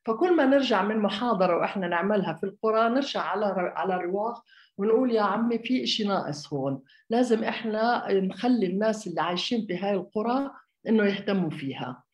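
A woman's voice: medium pitch, expressive, fast talker. A woman raised in Jordan, an architect giving a conversational public talk, hesitant, with many fillers and restarts. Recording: echoey room.

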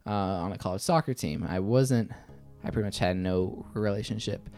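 A man in his thirties answering interview questions conversationally, often halting and restarting his words. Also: on the low side, a little mellow, clear, resonant tone, soft background music. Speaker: interview guest, attorney.

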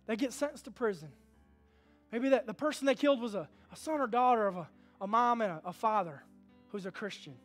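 Man in his thirties, pastor, high voice, lively preacher, faint music under him. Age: 30s. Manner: animated preaching, swinging from hushed to loud; call-and-response with the congregation. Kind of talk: sermon.

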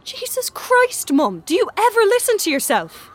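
A woman's voice: very high voice, wildly swooping pitch, moderate pace, exaggerated voice. A woman playing a sullen, scornful teenage schoolboy in an audio drama, curt and sarcastic. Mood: scornful irritation.

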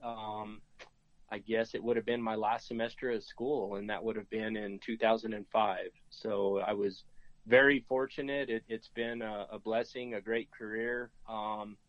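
Dull, dry recording of an even-toned American man. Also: lowish voice, normal speed, no room sound. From New Mexico, United States. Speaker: podcast guest, game and fish officer. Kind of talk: casual interview talk.

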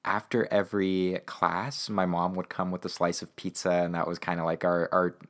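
Young male guest, Canadian, native Ukrainian speaker. Deep pitch, enthusiastic and agreeable casual chat, doubles phrases for emphasis. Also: level tone, normal speed, a bit bright. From Winnipeg, Canada. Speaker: interview guest, actor.

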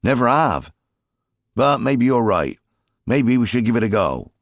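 A man's voice: medium pitch, big pitch swings, unhurried, vocal fry, dry recording, clean studio sound, pitched above the performer's own voice. A man voicing a weary, grumbling fisherman father in an audiobook, with colloquial working-man turns of phrase. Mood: reluctant, weary. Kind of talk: audiobook character dialogue.

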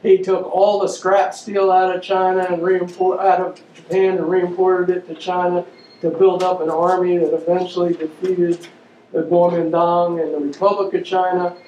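A man giving an unscripted public lecture, pausing often with fillers and restarting his sentences. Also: high-pitched, level tone, darkish tone. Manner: halting, many fillers and restarts. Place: lecture room. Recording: dull, roomy, distant mic with faint noise.

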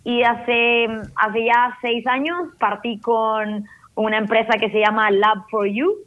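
A woman from northern Chile with a Chilean accent, a startup founder telling her story in a podcast interview, animated and slipping in English terms. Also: a little high, takes it slowly, phone-quality sound.

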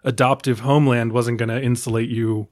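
A man's voice: medium pitch, level tone, brisk, darkish tone, no room sound. A man co-hosting a film podcast, talking in a chatty, jokey way. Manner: chatty, jokey, mock-quotes his family. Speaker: podcast host. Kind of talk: casual film discussion.

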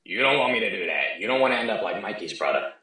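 A man playing a sneering, foul-mouthed heckler in a comedy skit, with a harsh, cutting edge to his low voice that rings around an echoey room. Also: very animated, fast talker, pushed and bright. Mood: crude. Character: crude heckling male caller, insulting and smug.

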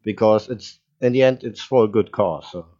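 A man's voice: fairly low pitch, moderate pace, dark tone. A man hosting a podcast interview, speaking casually in hesitant, loosely built sentences on a dull lo-fi recording. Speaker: podcast host.

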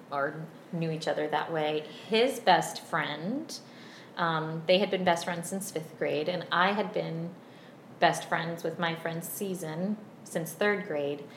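A woman in her thirties from Virginia, chatting as a podcast guest in a friendly, unhurried way with many ums. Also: deep voice, a bit bright. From Virginia, USA. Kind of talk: casual interview chat. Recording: roomy echo, faint background hum.

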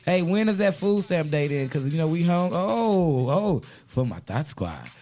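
Male radio host, raspy, slangy, joking, voice a touch high. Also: big pitch swings, unhurried, warm tone. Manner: joking, laughing often, slangy. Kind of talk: casual comedic radio banter.

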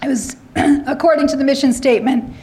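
Woman lecturer clearing her throat. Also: sits high, fairly quick, a little mellow. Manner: frequent throat clearing, wry asides. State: slightly hoarse, clearing throat. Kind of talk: lecture on academic freedom.

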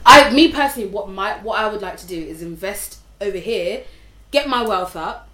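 A woman's voice: mid-range voice, expressive, moderate pace, sharp tone. Zimbabwe-born woman with an English accent. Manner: candid, storytelling, lots of restarts. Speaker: podcast guest. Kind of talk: casual chat. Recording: roomy room echo.

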